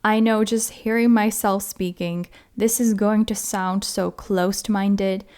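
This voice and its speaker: A woman's voice: medium pitch, fairly even intonation, unhurried, darkish tone, clear, resonant tone. A young woman from the Republic, hosting her own podcast in an earnest, carefully worded, reflective monologue. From Czech Republic. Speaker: podcast host. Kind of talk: scripted solo podcast monologue.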